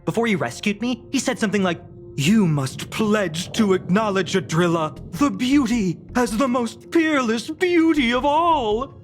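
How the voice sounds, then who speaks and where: Small-time pencil pusher: jaded, theatrical, sardonic, swooping intonation, faint music behind. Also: male voice, high voice, animated, unhurried. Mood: incredulous, sardonic. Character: jaded, self-deprecating, excitable small-time office worker. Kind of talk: video game voice acting.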